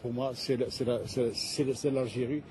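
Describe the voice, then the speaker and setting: A man in his seventies from Algeria, an artist recalling the past in halting speech that often repeats words. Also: mid-range voice, fairly even intonation, noisy background. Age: 70s. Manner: halting, repeats words while searching for them. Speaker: interviewed artist. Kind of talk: casual recollection in a news report.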